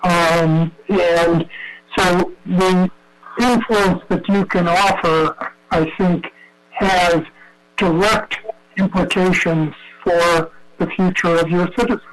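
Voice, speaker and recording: Professor guy on a video call, fairly high voice, calm explaining. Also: slow pace, poor audio. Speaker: professor and invited expert. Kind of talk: expert testimony over a video call.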